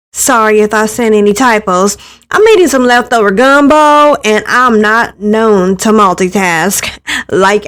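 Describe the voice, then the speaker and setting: A woman's voice: on the high side, fairly bright tone. A woman voicing a self-assured, friendly young female streamer in a visual novel, talking candidly and casually.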